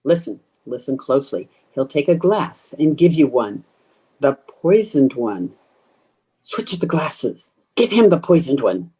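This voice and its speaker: A woman playing a bloodthirsty, commanding old vampire woman in old-time radio horror style, speaking with slow, insistent menace. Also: rumbling low, sing-song, warm tone, slightly rough, exaggerated voice. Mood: urgent, commanding.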